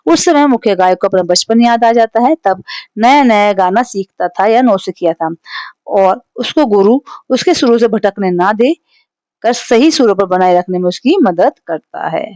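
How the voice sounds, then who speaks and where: A woman teacher with a Hindi accent takes a poem apart line by line, patient and explanatory. She stops now and then to check that her class is following. Her voice sits at a middle pitch, and the recording is dry, with no room sound. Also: moderate pace, a little mellow, dry recording. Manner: explanatory, frequent "theek hai" check-ins.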